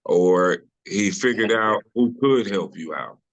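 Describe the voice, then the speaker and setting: A middle-aged man with a deep voice, a comedian guest talking casually and loosely in an interview over a video call, full of "you know" and restarts. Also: slow pace, low-quality recording. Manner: relaxed storyteller, many restarts and "you know".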